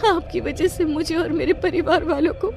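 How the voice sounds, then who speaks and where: A woman voicing an eerie, aggrieved young Indian daughter-in-law in an animated horror story, with music underneath. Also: very high-pitched, moderate pace, vocal fry. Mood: tearful reproach.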